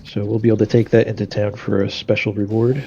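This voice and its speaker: A man's voice: on the low side, level tone, fast talker, dark tone, highs cut off, slight background noise. Stuffy-nosed man commenting on gameplay. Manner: explains decisions thinking aloud, many fillers. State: nasal and stuffy from a cold. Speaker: game streamer.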